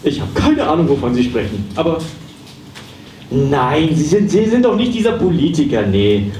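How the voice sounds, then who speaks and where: Man playing a high-pitched, frantic, ranting psychotherapist. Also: very animated, normal speed. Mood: doubtful. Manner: ranting, stammering, exclamatory. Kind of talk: live comedy radio play on stage. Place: small dark theatre stage, live. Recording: echoey, noisy stage recording from far off.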